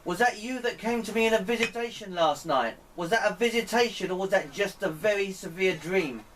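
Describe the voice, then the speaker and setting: Guy with a high voice doing a spirit contact session, pleading short questions, far from the mic, noisy. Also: a little fast, a bit bright. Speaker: amateur spirit communication experimenter. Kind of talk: slow spoken questions addressed to a spirit. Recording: distant mic, clear background noise.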